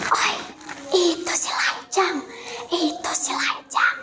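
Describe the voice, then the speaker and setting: Girl acting out an astonished, high-pitched villager. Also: little pitch movement, slow pace, in a whisper. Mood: hushed amazement. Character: astonished, breathless villagers spreading news to a mother. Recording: roomy, echoing sound.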